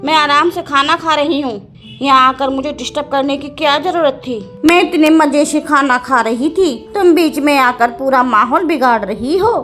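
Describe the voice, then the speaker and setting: A clever old cartoon village woman, played by a woman, talks in a high voice. She is plain-spoken, plaintive and quick to scold, over faint music. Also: fairly bright tone. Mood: irritated. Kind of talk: animated cartoon story acting.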